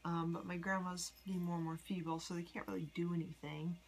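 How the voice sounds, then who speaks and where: A woman in her forties from the United States, rambling in a personal vlog with many ums and soft whispery asides. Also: lowish voice, level tone, fairly quick, gravelly. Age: 40s. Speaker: vlogger. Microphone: phone.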